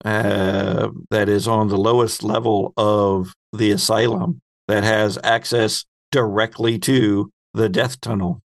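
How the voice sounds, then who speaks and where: Slow talking guy as game master, deep-ish creaky voice, describes scenes carefully. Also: little pitch movement, no echo, studio quality. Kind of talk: narrating a tabletop role-playing game.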